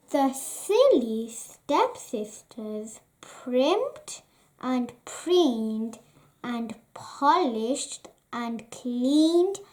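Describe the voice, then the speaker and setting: A woman reading a children's picture book aloud at bedtime, slow and expressive. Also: sits high. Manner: slow, expressive, sing-song read-aloud delivery. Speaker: storybook reader.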